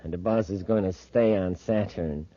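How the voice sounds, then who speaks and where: A man's voice: deep voice, moderate pace, mellow, no room sound. A man playing a menacing, impatient space gangster with an American accent in a 1950s radio serial. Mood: conspiratorial. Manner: threatening, bossy. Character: menacing male gang boss's henchman, impatient schemer. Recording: dull, dry 1950s radio recording.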